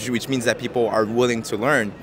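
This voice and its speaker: A young man in his twenties from Japan speaks softly and hesitantly, padding his answers with fillers and quick laughs. His voice sits in an ordinary middle range. He is recorded on a handheld mic in a packed city square, with crowd chatter all around. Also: mid-range voice, steady tone. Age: 20s. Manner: soft-spoken, hesitant, many fillers, laughs easily. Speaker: interviewee. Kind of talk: casual street interview. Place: busy city square outdoors. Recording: busy street crowd behind.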